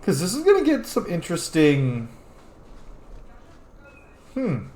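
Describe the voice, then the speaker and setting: A man's voice: high voice, wildly swooping pitch, takes it slowly, dark tone. A man hosting a casual video game playthrough, informal and sweary, musing aloud as he plays. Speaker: gaming host. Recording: roomy echo, faint game music. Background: faint game music.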